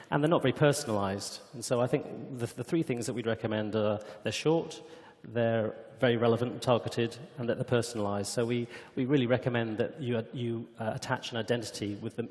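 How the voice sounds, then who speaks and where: A man's voice: mid-range voice, darkish tone. Man presenting in a reverberant hall. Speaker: company executive presenting at a conference. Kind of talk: conference presentation and panel discussion. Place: conference hall stage. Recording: hall reverb from conference stage.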